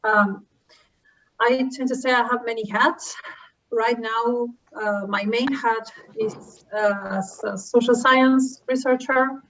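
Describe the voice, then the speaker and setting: A woman from Argentina, a social science researcher, introducing herself at a conference in a relaxed way with fillers, over a video call. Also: on the high side, takes it slowly. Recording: distant, dull-sounding call audio.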